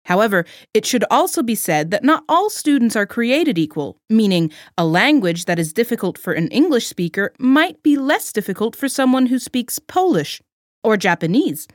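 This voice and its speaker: A woman's voice: a little high, no room sound, clean studio sound. A woman reading a scripted educational narration, announcing headings and introducing the topic.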